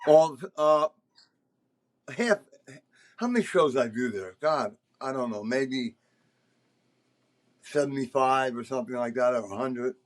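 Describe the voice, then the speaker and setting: A man's voice: mid-range voice, expressive, unhurried, long pauses. Older man spinning rambling, salty stories. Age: older, around 70s. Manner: rambling, salty-tongued, emphatic. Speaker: podcast host telling stories as a former concert promoter. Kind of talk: casual anecdotal storytelling.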